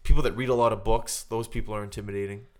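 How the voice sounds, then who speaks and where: Low-pitched man bantering on a podcast. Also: steady tone, racing. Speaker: podcast co-host. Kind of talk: casual comedic banter.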